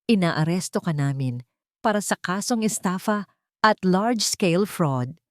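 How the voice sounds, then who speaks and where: A woman with a Filipino accent voicing a stern male police officer in a story, formal and deliberate. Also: fairly low pitch, animated, no room sound, studio quality. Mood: stern, official.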